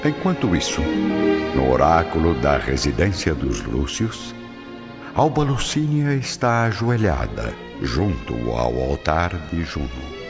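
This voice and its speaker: A man's voice: a bass voice, big pitch swings, unhurried, exaggerated voice. A man with a Brazilian accent acting as the solemn narrator of an ancient Roman saga on a radio drama. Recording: dull sound, long reverb, loud music behind.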